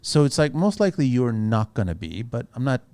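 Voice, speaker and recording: A man's voice: mid-range voice, very animated, brisk, darkish tone. A middle-aged man born in France to a Portuguese family, hosting a construction podcast and talking in a rambling, opinionated way. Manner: rambling, opinionated, with tag questions. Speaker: podcast host. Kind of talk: casual podcast conversation.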